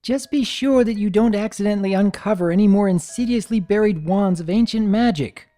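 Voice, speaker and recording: A storyteller voicing a caring female pony, gentle, teasing and motherly, with a coaxing sing-song manner. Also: medium pitch, a little fast, voice pushed up, close to an everyday voice. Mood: wry, tired.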